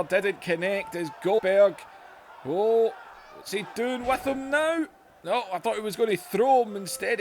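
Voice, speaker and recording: An excitable man calls a wrestling video game match in a high voice, drawn out and unhurried between bursts of shouting. His voice is wearing hoarse, and a faint hiss of noise sits under the recording. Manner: excitable, shouts and laughs at big moves. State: voice going hoarse.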